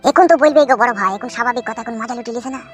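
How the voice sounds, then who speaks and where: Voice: medium pitch, racing, faint music behind, lightly acted. A performer voicing a greedy, fawning male henchman to a village boss in a cartoon comedy, sly and deferential. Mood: knowing, agreeing. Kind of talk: Bengali cartoon comedy dialogue.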